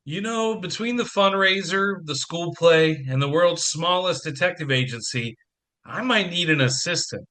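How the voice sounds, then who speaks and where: A man's voice: on the high side, expressive, normal speed, pushed and bright. A man acting in an audio play as a harried, wry, affectionate businessman father with dry sarcasm.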